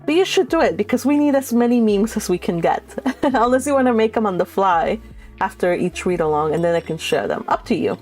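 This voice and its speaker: A woman hosts a read-along with music playing behind her. She has an informal, chatty way of talking and a mid-range pitch, moving between loose commentary and reading classic prose aloud. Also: expressive, a little fast, a little mellow. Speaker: host of a book read-along. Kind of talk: casual live-stream chat and reading aloud of a classic novel.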